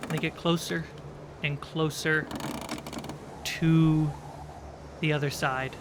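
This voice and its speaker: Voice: mid-range voice, little pitch movement, takes it slowly. A game master narrating a tabletop roleplaying game in descriptive, suspenseful storytelling. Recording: clear background noise.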